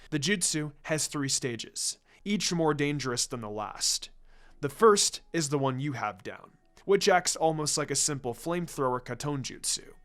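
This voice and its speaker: A man voicing a serious adult ninja mentor in a fan fiction reading, calm, stern and deliberate as he explains. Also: mid-range voice, pitched below the performer's own voice, lightly acted.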